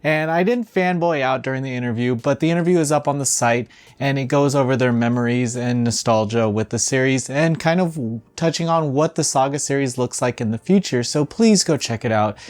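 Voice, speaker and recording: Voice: medium pitch, a little mellow. A video game news host presenting in a casual, conversational style, with sarcastic and exasperated asides.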